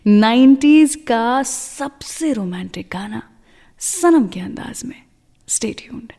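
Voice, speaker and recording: A woman's voice: on the high side, animated, slow pace, in a large hall. An Indian woman presenting a scripted radio show, telling stories and addressing listeners directly, mixing in English phrases. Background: music.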